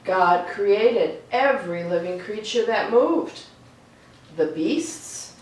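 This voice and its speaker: A woman reading aloud for a children's storytime in a slow, deliberate, expressive way. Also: medium pitch. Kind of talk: read-aloud storytime with chatty asides. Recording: echoey room, distant mic, faint noise.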